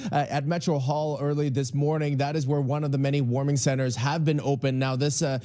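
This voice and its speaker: Male weather reporter, brisk. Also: a little high, mellow, a little hiss or hum. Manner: brisk, advisory.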